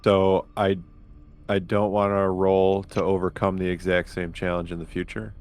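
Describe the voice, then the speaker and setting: A man's voice: low-pitched, level tone, normal speed, a little mellow. A man taking part in a tabletop roleplaying game, talking casually. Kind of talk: casual chat.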